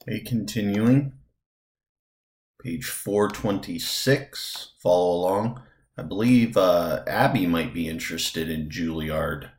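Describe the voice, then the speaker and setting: A man's voice: low voice, slow. A man speaking casually as a teacher who guides a read-along. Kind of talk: casual classroom direction.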